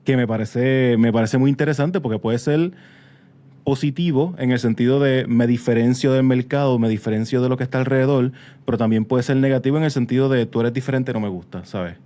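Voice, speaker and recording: Guy podcast host, mellow voice, chatty, drops english words in. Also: medium pitch, fairly quick. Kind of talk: casual conversational interview.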